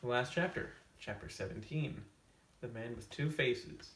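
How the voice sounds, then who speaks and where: A man hosting a comedic storytime video, casually summing up a book to camera with tongue-in-cheek, deadpan asides. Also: medium pitch, measured pace, far from the mic. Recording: roomy echo, off-mic, faint hiss.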